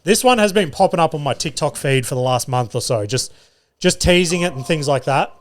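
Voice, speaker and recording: A man's voice: on the high side, lively intonation, fairly quick. A young man hosting his solo podcast, telling comedy-gig stories in a loose, sweary way and laughing at his own jokes. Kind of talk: casual solo podcast storytelling. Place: studio.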